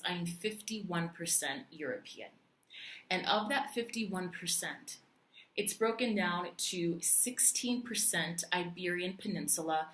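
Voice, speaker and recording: Woman from Puerto Rico, casual vlog talk, chatty with many fillers, lowish voice, roomy echo. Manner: chatty, animated, lots of fillers and restarts. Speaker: vlogger. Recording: echoey room.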